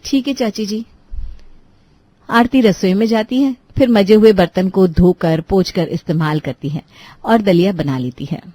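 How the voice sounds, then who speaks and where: A woman's voice: fairly low pitch, moderate pace, warm tone, no room sound. A woman reading scripted narration for a children's moral story.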